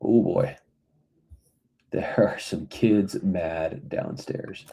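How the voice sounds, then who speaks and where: A hoarse man losing his voice, casually rambling and thinking aloud as he works through a game dev log. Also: deep voice, drawn-out words, warm tone. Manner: rambling, mutters half to himself. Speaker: indie game developer.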